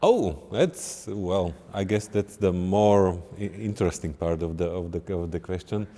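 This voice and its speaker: A middle-aged man from Slovakia, a game design lead answering interview questions in halting English, often restarting mid-sentence. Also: low voice, dark tone, big-room sound.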